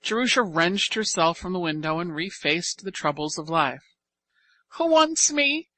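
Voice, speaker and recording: A woman reading scripted audiobook fiction as narrator, with expressive, deliberate phrasing. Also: low voice, no room sound.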